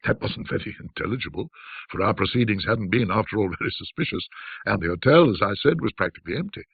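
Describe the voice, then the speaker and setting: A man narrating a classic ghost story in a measured, dramatic, old-fashioned storytelling manner. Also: on the low side, animated, gravelly, breathy edge, dry recording, phone-quality sound.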